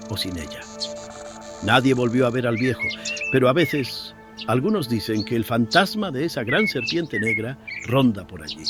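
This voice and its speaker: A man's voice: mid-range voice, voice pushed up, lightly acted. Man acting a drawling middle-aged farmer, music underneath. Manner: drawling rustic speech. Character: kindly middle-aged farmer, gossipy and superstitious, wary of strangers. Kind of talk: dramatized horror audiobook dialogue.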